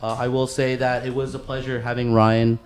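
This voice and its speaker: A man hosts a casual podcast at a middling pitch. He rambles through his thoughts in informal slang and swears freely as he goes. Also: level tone, fairly quick, clear, resonant tone. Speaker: podcast host.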